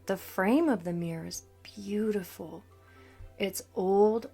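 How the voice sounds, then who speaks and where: A woman coach narrating a scripted guided meditation in a soothing, deliberate manner. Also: medium pitch, mellow, breathy edge. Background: background music.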